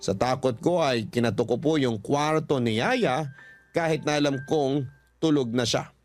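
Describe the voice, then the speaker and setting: A man's voice: medium pitch, normal speed. A man working as a radio storyteller, reading a personal letter aloud as earnest, polite dramatic narration. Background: background music.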